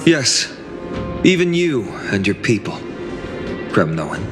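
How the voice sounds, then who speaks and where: A man's voice: fairly low pitch, sing-song, slow. A man playing an earnest, resolute young soldier hero in a fantasy game, speaking solemnly. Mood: defiant, earnest. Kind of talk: dramatic fantasy game voice acting. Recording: long reverb, music behind.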